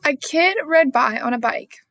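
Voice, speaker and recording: A woman with a fairly high voice reads a children's storybook aloud. Her narration is scripted, expressive and clearly phrased. The recording is fairly dry, with little room sound. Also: unhurried, dry recording. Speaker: storybook reader.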